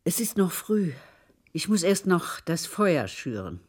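An actress playing a devout, tender, grieving servant woman in a German radio play, moving between hushed and insistent delivery. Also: fairly low pitch, big pitch swings, a little slow, husky, full character voice. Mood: soft, soothing.

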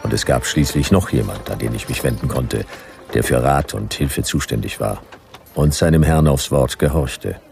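A man playing a laconic, world-weary detective narrator in a radio drama, terse, deadpan and measured. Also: very deep voice, gravelly, soft background music. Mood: wry, grave.

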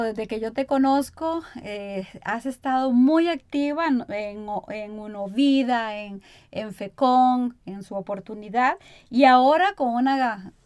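A woman radio host with a somewhat high voice. She interviews in a conversational way, and the sound is dry, with no room around it. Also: a little mellow, smooth, no echo.

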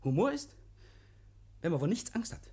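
An actor playing a nervous, over-eager murder suspect in a 1950s radio play, effusive, stammering and repeating himself. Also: male voice, high-pitched, very animated, normal speed, darkish tone. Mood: manically cheerful.

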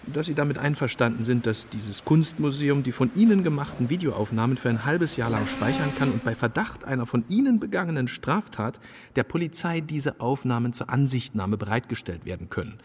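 A man's voice: medium pitch, expressive, brisk, lightly acted. An actor playing an odd, officious male museum attendant in a radio play, stating absurd things matter-of-factly. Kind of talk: German radio play dialogue. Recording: long hall reverb, clearly noisy background.

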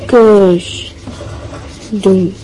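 A woman's voice: mid-range voice, fairly even intonation, warm tone, a little hiss or hum, rough recording. A woman voicing an earnest, lovestruck young schoolboy in a radio play, spelling out a letter word by word. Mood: dictating. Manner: slow, word-by-word dictating. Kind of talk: radio drama acting.

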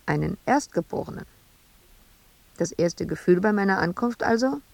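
A woman's voice: medium pitch, lively intonation, moderate pace, vocal fry, darkish tone, no room sound. An actress playing an embittered, intense woman sculptor confined in an asylum, in a radio drama monologue. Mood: brooding, bitter.

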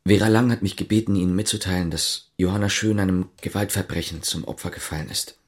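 Casting: reserved policeman on a covert errand, low voice, clipped matter-of-fact delivery, radio play. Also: fairly even intonation, fast. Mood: sober, controlled. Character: Martin, guarded East German policeman on a covert errand. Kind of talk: radio drama acting with inner monologue.

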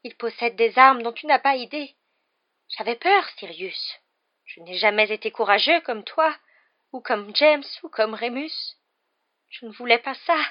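A woman's voice: sits high, unhurried, long pauses, muffled recording, pitched above the performer's own voice. A woman voicing a cowardly, grovelling middle-aged man in a storybook, pleading and stammering in a whining voice. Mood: desperate whining.

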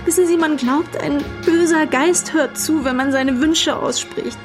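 Young female defensive lover in a radio crime play, higher voice, emotional and hesitant, music underneath. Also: measured pace. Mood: distressed. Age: young adult. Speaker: actor in a radio crime drama. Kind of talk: German radio play acting.

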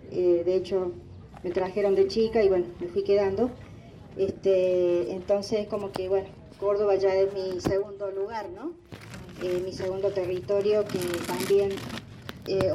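A woman in her forties whose first language is Guarani, speaking as a guest at a gathering, halting, with many fillers and restarts. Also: medium pitch, warm tone, far from the mic, dull audio, a little hiss or hum. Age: 40s. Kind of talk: recorded address at a gathering.

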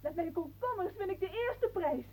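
A woman's voice: very high voice, animated, moderate pace, warm tone. An actress playing a dreamy, headstrong schoolgirl niece in a radio play, cheeky and absorbed in herself. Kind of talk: theatrical radio play acting.

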